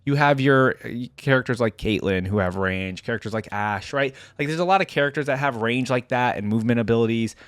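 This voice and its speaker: A man's voice: mid-range voice, brisk, few pauses, clean tone, no room sound. A man hosting a reaction video, giving casual off-the-cuff game commentary in a rambling, conversational way that often checks for agreement. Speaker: YouTube reaction host.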